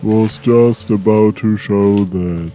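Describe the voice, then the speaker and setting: A man's voice: on the low side, fairly even intonation, takes it slowly, warm tone. A man voicing an earnest, literal-minded male helper who takes everything at face value, with music playing behind. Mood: deadpan. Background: background music.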